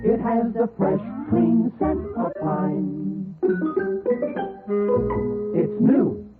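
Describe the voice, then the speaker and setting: A woman delivering a vintage radio commercial in a slow, drawn-out way. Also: fairly high pitch, steady tone, soft background music. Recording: tinny old radio.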